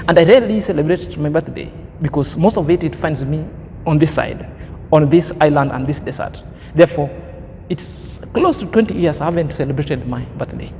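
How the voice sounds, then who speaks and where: Man, 30s, high-pitched vlogger with a preachy, emphatic delivery and lofty vocabulary, recorded in a reverberant room with a low rumble. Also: animated, normal speed, warm tone. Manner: preachy, emphatic, fond of grand words. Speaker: commentary vlogger. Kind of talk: opinion commentary monologue.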